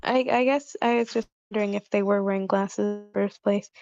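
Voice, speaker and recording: A woman's voice: medium pitch, steady tone, brisk, darkish tone, dry recording. A woman giving casual feedback in an informal group chat, with dull, lo-fi sound. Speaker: table-read participant giving feedback. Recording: dull lo-fi call audio.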